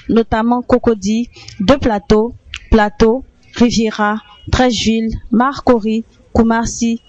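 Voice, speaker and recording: A woman's voice: fairly high pitch, a little mellow, dry recording. A woman reporter reading a scripted news voice-over. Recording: dull lo-fi voice-over.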